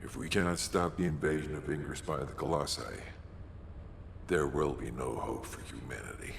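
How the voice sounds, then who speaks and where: An extremely deep male voice in a roomy, echoing space with faint noise, a video game mentor. He is grave and formal, delivering each line with measured solemnity. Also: creaky. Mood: solemn, approving. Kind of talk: scripted game cutscene acting.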